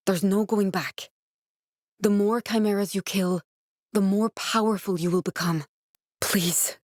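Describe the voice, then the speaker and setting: An actress playing a guarded, driven scientist in a video game, speaking in a measured, clinical way. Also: female voice, lowish voice, a little mellow, no room sound, clean studio sound. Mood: grave, concerned. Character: guarded, driven woman scientist guiding a survivor, dry and earnest. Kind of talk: video game character voice acting.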